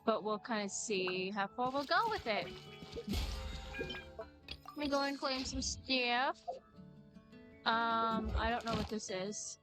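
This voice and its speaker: A woman game streamer with a somewhat high voice chats casually and reacts as she plays. She thinks aloud in an informal way, with game music clearly behind her. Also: slow pace, pauses often. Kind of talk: casual live gameplay commentary.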